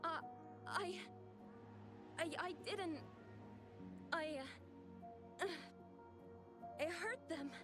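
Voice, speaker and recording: A woman voicing a timid, distressed young painter in a video game, confessing guiltily with halting stammers. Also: very high voice, full character voice. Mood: guilty, frightened. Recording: loud game music behind.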